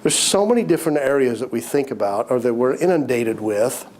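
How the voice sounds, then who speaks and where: A man teaching a business course, talking conversationally while getting over a cold, his voice a little raspy, often clearing his throat and repeating key points. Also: medium pitch, expressive, fairly quick, darkish tone. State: recovering from a cold, slightly raspy. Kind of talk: recorded lecture to entrepreneurs.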